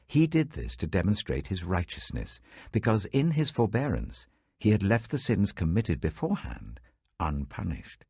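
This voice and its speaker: A man giving a measured, dramatic reading of Bible passages in a close studio recording. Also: husky, no echo. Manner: measured, dramatic, lively pitch shifts. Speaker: Bible reader. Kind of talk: expressive scripture reading.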